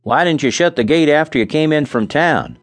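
A complaining, plain-spoken farmer talks in folksy country speech, a man giving him voice. His pitch jumps around, set higher than the narrator. The recording is dry and close. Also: expressive, normal speed, no echo, close to an everyday voice. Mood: grumbling. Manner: folksy, grumbling talk. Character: grumbling rural farmer, blunt and complaining.

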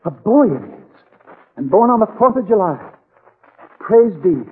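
An actor playing an excitable, tender Irish vaudevillian father in 1940s radio drama style. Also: high voice, unhurried, husky, heavily acted. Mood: joyful. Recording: narrow old radio, faint noise.

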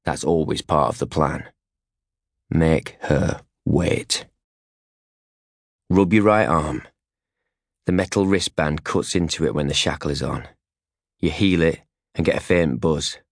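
A man's voice: extremely low pitch, takes it slowly, long pauses, no echo, studio quality. A man reading scripted fiction as an audiobook narrator, in terse, clipped phrases. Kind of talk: scripted first-hand fiction narration in second person. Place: recording studio.

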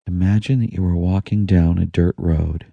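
A man narrating a guided meditation in a soothing, hushed manner with long pauses. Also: low voice, steady tone, creaky, no echo, muffled recording. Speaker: audiobook narrator.

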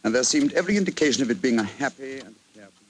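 A man's voice: medium pitch, animated, racing, voice pushed down, close to an everyday voice. Guy doing old english doctor reading a letter, hissy old radio. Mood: earnest. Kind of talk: 1940s radio acting.

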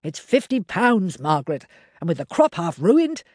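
A woman voicing a meek, worried male farmer, speaking in a low, slow drawl. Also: very animated, darkish tone, no echo, clean studio sound. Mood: uneasy.

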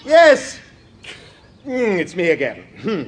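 A well-to-do tourist in an audio drama. He is fussy, over-polite and insistent, in a fairly high voice that leaps about. There is faint noise behind him. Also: huge pitch swings, takes it slowly, heavily acted. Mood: eager, insistent. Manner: pushy, affected, over-polite. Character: eager, chatty, well-to-do English gentleman tourist. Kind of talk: dramatized audio play acting.

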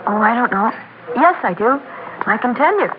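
A woman's voice: on the high side, animated, moderate pace, close to an everyday voice. Actress as naive young girl, tinny radio. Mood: shy, curious. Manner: coy, artless, hesitant. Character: naive, shy, giggly young girl in a bar. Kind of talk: 1960s radio drama acting. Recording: tinny old radio, crowd behind.

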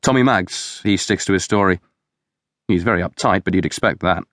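A man's voice: low-pitched, normal speed, fairly bright tone, dry recording, studio quality. A man voicing a polite, placating police detective reporting to his boss in an audiobook. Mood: matter-of-fact. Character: tactful, eager-to-smooth-things male police detective subordinate. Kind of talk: audiobook character dialogue.

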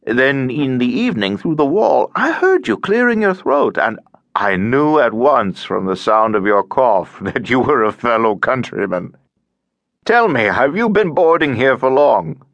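Man putting on a chirpy, tiresome elderly gentleman. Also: mid-range voice, big pitch swings, unhurried, vocal fry, no room sound, dull audio, pitched above the performer's own voice, heavily acted. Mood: cheerful, rambling. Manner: garrulous, droning, over-familiar. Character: cheerful, tiresome, chatty elderly male Russian émigré. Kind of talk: audiobook character acting.

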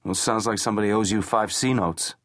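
A man's voice: fairly low pitch, fairly even intonation, fairly quick, a bit bright, dry recording, clean studio sound, close to an everyday voice. A man voicing a cocky young male hitman who answers with wisecracks and defiance. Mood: sarcastic.

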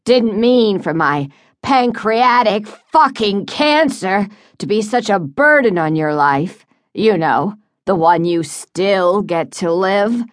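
A woman's voice: on the low side, fairly bright tone, dry recording, clean studio sound, heavily acted. Woman voicing a cantankerous, bellowing old father. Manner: bellowing, sarcastic. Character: sick, cantankerous, bitter old father, wealthy Southern man.

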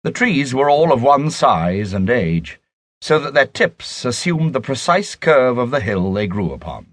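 A man with a husky voice reading a literary audiobook as a scripted narration. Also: medium pitch, sing-song, unhurried, no room sound.

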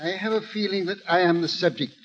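An actor playing a shrewd, unruffled cardinal, urbane and dryly ironic, in old radio drama style. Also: male voice, high voice, fast, fairly bright tone. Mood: smoothly ironic. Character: suave, shrewd, unruffled cardinal and chief minister. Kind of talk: 1930s-40s radio drama acting. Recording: dull vintage radio recording.

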